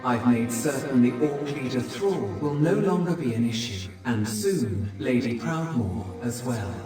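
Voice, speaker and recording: A condescending game demon, a manipulator sure of himself. The voice is mid-pitched and distant, drenched in echo over music. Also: male voice, animated, unhurried. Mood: smug. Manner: condescending, assured. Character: smooth, manipulative demon mastermind. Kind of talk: fantasy game cutscene villain acting. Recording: very echoey, distant, music underneath.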